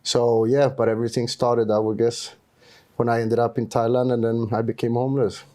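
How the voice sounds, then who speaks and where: Man with a mellow voice. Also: medium pitch, flat delivery. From Sweden. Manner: halting, restarts often, simple phrasing. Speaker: guest. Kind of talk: interview.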